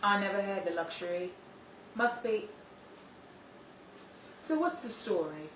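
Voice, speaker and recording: A woman playing a wry, measured young Englishwoman in a 1930s-style radio drama. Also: medium pitch, a bit bright, a little hiss or hum, close to an everyday voice. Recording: roomy room, distant mic.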